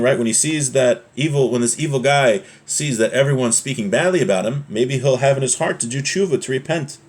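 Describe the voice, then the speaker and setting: A man with a mid-pitched voice teaches a religious class. He explains texts informally, in a conversational manner, and checks that the point is following as he goes. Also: darkish tone. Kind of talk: informal religious lesson, reading Hebrew texts and explaining them.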